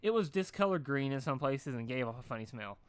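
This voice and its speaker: Male amateur story reader, mid-pitched, loose and stumbling delivery, dry recording. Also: animated, fast, smooth, no echo. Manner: stumbles and rereads phrases. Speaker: fan fiction reader. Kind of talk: informal storybook narration.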